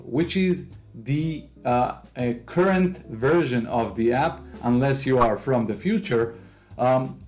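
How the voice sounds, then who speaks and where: A man from Israel whose first language is Hebrew, speaking English with an Israeli accent as he explains a software app step by step in a tutorial. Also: on the high side. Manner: explains step by step, non-native phrasing, occasional fillers. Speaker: host of a software tutorial channel. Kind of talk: screen-recorded software walkthrough.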